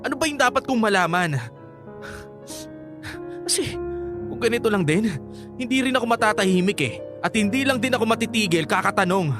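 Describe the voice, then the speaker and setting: A man's voice: sits high, lively intonation, brisk, pauses often, music in the background. A man playing a hurt, angry young son and boyfriend in a Filipino radio drama, stammering when upset. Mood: pleading, anxious.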